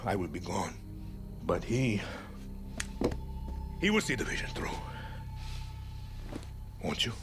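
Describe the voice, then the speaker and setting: An actor playing a middle-aged male dictator in a video game, cold, controlling and theatrical, speaking deliberately and commandingly. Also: low voice, sing-song, music in the background, heavily acted. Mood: controlled, menacing. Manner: deliberate, commanding, dropping to hushed menace. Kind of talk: cinematic video game acting.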